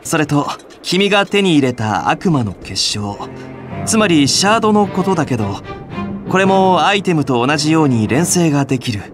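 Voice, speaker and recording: Earnest young alchemist in a game, animated, kinda high, music behind. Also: male voice, normal speed. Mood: friendly, explanatory. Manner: friendly, patiently explanatory. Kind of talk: Japanese video game voice acting.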